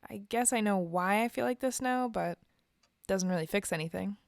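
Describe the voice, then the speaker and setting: Actress as self-doubting young woman, audio drama misfit, creaky voice, hesitant, downbeat, dry close recording. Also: mid-range voice, normal speed, dry recording, close to an everyday voice. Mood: uncertain, searching. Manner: hesitant, downbeat, clipped answers. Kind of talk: naturalistic audio drama dialogue.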